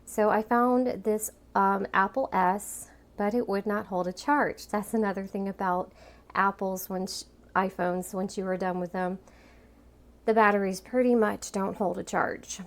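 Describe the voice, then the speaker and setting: Matter-of-fact woman, medium pitch. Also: steady tone, measured pace. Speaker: product reviewer. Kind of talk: conversational video review.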